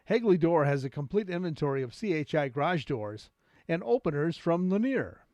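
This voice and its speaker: A man's voice: a little high, big pitch swings, moderate pace, darkish tone, dry recording. Dry studio-sounding American male ad announcer. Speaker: radio commercial announcer. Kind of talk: scripted ad read.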